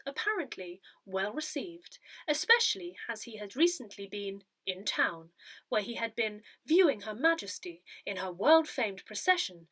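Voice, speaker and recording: A woman reading a scripted newsletter article aloud as narration, in measured, separate phrases. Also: on the high side, a bit bright. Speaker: newsletter reader.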